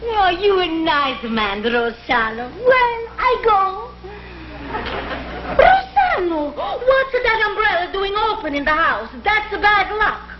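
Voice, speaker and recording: Mama, very high voice, animated, affectionate, echoey muffled old TV sketch. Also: female voice, slow, heavily acted. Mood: alarmed. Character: shrill, affectionate Italian wife and mother. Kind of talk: 1960s TV comedy sketch acting.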